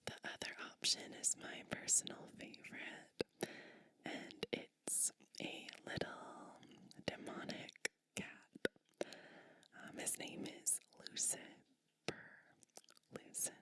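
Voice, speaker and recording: A woman whispering gently and attentively as a kindly witch in an ASMR bedtime roleplay, soothing the listener. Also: takes it slowly, pauses often. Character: kindly witch preparing a guest for bed.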